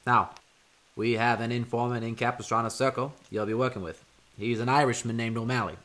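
An actor playing a stern, authoritative federal agent who speaks crisply, in an old-time radio drama. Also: male voice, medium pitch, steady tone, brisk, fairly bright tone, lightly acted. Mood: businesslike. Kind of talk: 1930s radio drama acting.

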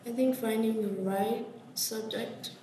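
A woman's voice: on the high side, steady tone, measured pace, dark tone, slight background noise. Earnest young woman, distant and echoey. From Ethiopia. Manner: earnest, searching for words. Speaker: student journalist. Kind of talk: answering in a class exercise. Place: classroom workshop, indoors.

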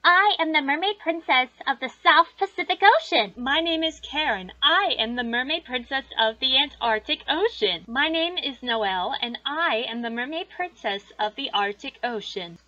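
Woman playing a high, bright young mermaid princess. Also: lively intonation, normal speed, few pauses, dry recording. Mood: proud, bright. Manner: formal, presentational self-introduction. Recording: dull lo-fi amateur recording.

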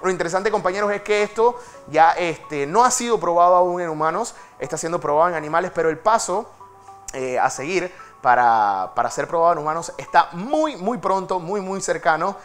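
A man's voice: high voice, animated, fairly quick, fairly bright tone, soft background music. A man presenting a technology segment in a TV news studio, talking in a chatty, dramatic way with fillers. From Panama. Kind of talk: studio tech segment, conversational.

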